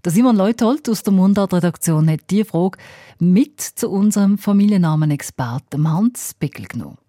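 A woman's voice: lowish voice, animated, normal speed, warm tone, no echo, clean studio sound. A woman with a Swiss German accent presenting a radio programme as its host.

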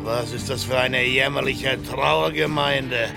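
A man's voice: medium pitch, steady tone, slow, vocal fry. An actor playing a defiant male gunslinger hero in a Western radio drama, with terse, commanding delivery. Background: music.

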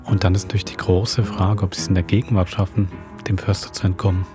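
A man's voice: deep voice, level tone, fast talker. A man with a Swiss German accent chatting casually as co-host of an audio drama podcast. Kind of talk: casual episode commentary. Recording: guitar music behind.

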